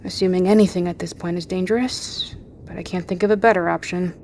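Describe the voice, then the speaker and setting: A woman's voice: lowish voice, fairly even intonation, a little fast, darkish tone, slight background noise, natural voice. A woman playing a tough, wounded fugitive. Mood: urgent. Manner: tense, clipped, often whispering. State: in pain. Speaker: wounded survivor. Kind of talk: audio drama acting.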